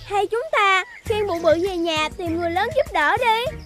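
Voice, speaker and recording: Super high squeaky cartoon critter, eager and naive, southern vietnamese accent, loud music under it. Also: normal speed. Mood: anxious. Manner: excitable, questioning. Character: eager, naive young cartoon animal sidekick. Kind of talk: Vietnamese children's cartoon dubbing.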